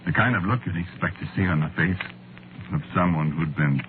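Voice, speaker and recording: An actor playing a weary, meek, haunted man who confesses in a halting, deliberate way, in the style of 1940s radio drama narration. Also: low voice, noisy background, close to an everyday voice. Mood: haunted, grave. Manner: hushed, deliberate, halting, conversational. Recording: muffled vintage radio recording, music behind.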